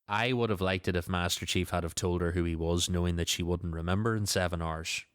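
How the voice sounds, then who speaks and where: A man's voice: low voice, fairly even intonation, brisk, smooth, no echo, clean studio sound. A man hosting a podcast in a casual, conversational style. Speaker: podcast host.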